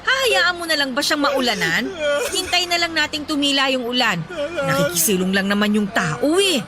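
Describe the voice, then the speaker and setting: A woman's voice: high voice, expressive, moderate pace. A Filipino woman playing a compassionate, exasperated wife in a radio drama, pleading and reproachful.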